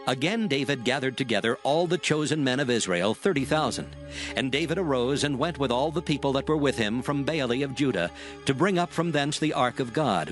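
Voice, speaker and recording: A man narrating dramatized scripture in a measured, formal way. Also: medium pitch.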